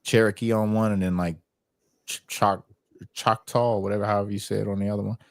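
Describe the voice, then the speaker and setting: Low-voiced American man, streetwise and colloquial. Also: level tone, brisk, creaky, no echo, clean studio sound. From United States. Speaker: livestream host. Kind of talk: casual livestream political chat.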